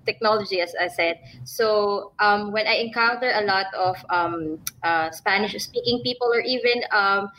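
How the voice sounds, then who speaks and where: A woman's voice: mid-range voice, moderate pace, few pauses, pushed and bright, rough recording. A woman with a Filipino accent talks as an interview guest, explaining her nursing work in a conversational way. A faint wash of background noise sits behind her voice. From Philippines. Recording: clear background noise, room ambience.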